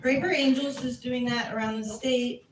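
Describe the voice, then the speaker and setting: A woman's voice: a little high, steady tone, normal speed. An American woman giving a civics talk, answering questions from the audience in a candid, chatty, self-deprecating way. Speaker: civics education speaker. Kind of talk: casual Q&A at a community talk. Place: community meeting room. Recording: echoey room from a distance, faint noise.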